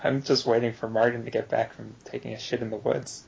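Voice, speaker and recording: A man playing an evasive young man who feigns innocence, answering in a dry, deadpan way on a dull mic. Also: mid-range voice, a little fast, vocal fry, husky, heavily acted.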